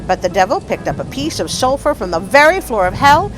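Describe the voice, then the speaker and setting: A woman storyteller and channel host with an expressive, dramatic delivery, reading scripted stories for children. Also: fairly high pitch, brisk, soft background music, low-quality recording.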